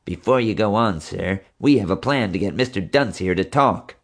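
A man's voice: fairly low pitch, expressive, normal speed. A man voicing a snappish female police officer who snarls her words curtly. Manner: snarling, curt.

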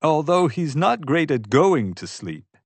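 A man's voice: on the high side, big pitch swings, unhurried, dry recording, voice pushed up, heavily acted. A man voicing a fussy, stuffed-up allergy sufferer in an audiobook, congested and emphatic in his complaints. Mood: emphatic, weary. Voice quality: congested, nasal. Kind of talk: audiobook character voice.

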